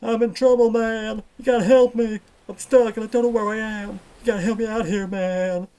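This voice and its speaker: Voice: medium pitch, a little slow, lightly acted, poor audio. A man voicing a lost, panicky male otter with an American accent, pleading and repeating himself. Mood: frantic. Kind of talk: animated cartoon acting.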